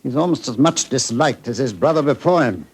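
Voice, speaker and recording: An actor playing a mild, polite English country gentleman with an English accent and a hesitant manner, in a 1940s radio drama. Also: mid-range voice, expressive, brisk, slightly rough. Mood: eager. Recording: noisy old 1940s radio recording.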